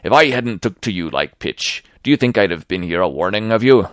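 A man voicing a crafty, wheedling old male pirate cook who speaks in rough sailor's dialect. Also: fairly low pitch, lively intonation, brisk, fairly bright tone, dry recording, clean studio sound. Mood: urgent coaxing.

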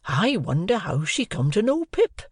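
A reader voicing a mild, apologetic man who hints politely in slow, halting words in an audiobook. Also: medium pitch, huge pitch swings, husky, no echo, clean studio sound, pitched above the performer's own voice. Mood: hesitant. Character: meek, mild-mannered man, hesitant and apologetic. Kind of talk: audiobook character voice.

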